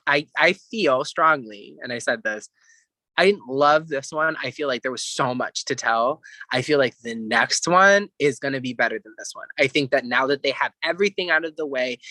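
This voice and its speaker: Bright, emphatic man in casual chat. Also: medium pitch, dry recording. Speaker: podcast guest.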